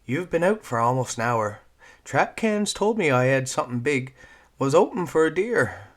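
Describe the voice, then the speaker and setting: A man's voice: mid-range voice, very animated, voice pushed up, close to an everyday voice. A man voicing a brash nine-year-old forest girl with a lilting Newfoundland accent, talking cheekily and nonstop. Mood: cheeky. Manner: chatty, cheeky, rapid-fire.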